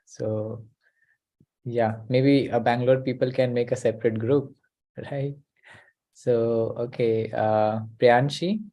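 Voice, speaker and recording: A man's voice: mid-range voice, steady tone, slow, mellow. A man hosting an online class over a video call. He talks hesitantly, with many uh and you know.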